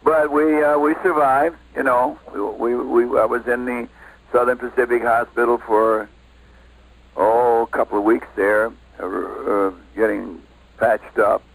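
Gravelly, husky older American man reminiscing. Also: medium pitch, flat delivery, dry recording, low-quality recording. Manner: halting, repeats himself. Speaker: interview guest. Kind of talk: casual reminiscence.

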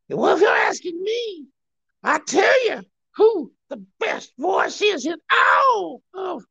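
A brash, boastful hard-rock singer shrieks his lines in a strained falsetto that shoots far above a normal speaking voice. He brags and howls in long wails. The recording is dull and dry. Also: gravelly, no room sound, full character voice. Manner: high shrieking wails and shouts.

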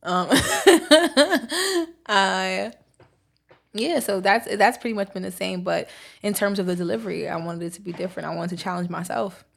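A woman's voice: mid-range voice, normal speed, vocal fry. A young woman with a Brooklyn accent, chatting casually as a guest and laughing often. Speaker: interview guest, author and poet. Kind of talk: casual conversation.

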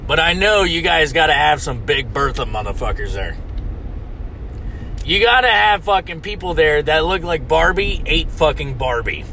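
An American man from the United States delivering a loud, mock-indignant comedic rant, swearing constantly. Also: a little high, animated, normal speed, a little hiss or hum, rough recording. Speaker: comedic ranter.

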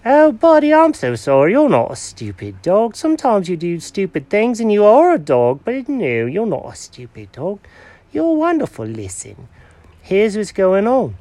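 A dramatic, bossy male cat in a storybook tale, as a man puts him on. His voice jumps high and swings wildly with emphatic, theatrical flair. It sounds dry and close. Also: wildly swooping pitch, moderate pace, mellow, no echo. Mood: excited.

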